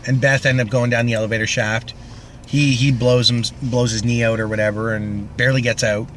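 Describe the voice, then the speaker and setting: A man's voice: mid-range voice, little pitch movement, a little fast, a bit bright. Laid-back man chatting, faint background noise. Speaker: podcast co-host. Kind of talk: casual chat.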